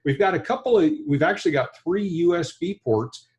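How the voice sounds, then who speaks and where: A man's voice: on the high side, lively intonation, a little fast, darkish tone, dull audio. An American man in his fifties giving a hands-on product tutorial as a trainer, in a plain-spoken, conversational, explanatory way. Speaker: trainer and presenter. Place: indoor studio or workshop with backdrop and bench.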